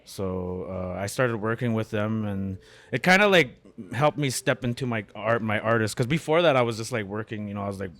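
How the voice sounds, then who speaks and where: A man's voice: on the low side, lively intonation, brisk, a bit bright. A middle-aged man from Canada, a comedian and beatmaker, chatting as a guest in a cafe with many fillers and restarts. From Canada, born in Winnipeg. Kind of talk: casual interview conversation.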